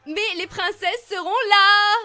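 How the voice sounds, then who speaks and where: Woman voicing a bright, high-voiced doting pony mom. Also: steady tone, slow, heavily acted. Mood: excited, doting. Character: affectionate, chatty pony mother, cheerful and doting. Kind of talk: cartoon fandub acting. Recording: studio dub with faint music.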